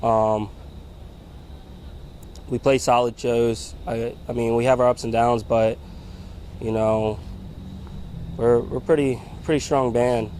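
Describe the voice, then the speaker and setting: A man's voice: medium pitch, little pitch movement, a little mellow. A young man of about twenty-one, new to his band, answers in stops and starts and often repeats himself. His delivery is level with little rise and fall. A faint background hum runs under his voice. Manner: halting, repeats words. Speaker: band member. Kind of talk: casual interview answers. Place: outdoors at a music venue.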